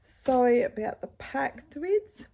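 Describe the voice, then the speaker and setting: A middle-aged woman with a slightly croaky voice, chatting casually at home about her cross-stitch projects with a rambling, chatty manner, recorded on a camera's built-in mic. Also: high voice, big pitch swings, takes it slowly, dark tone. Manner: chatty, rambling, with fillers and asides. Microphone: camera's built-in mic, no external microphone.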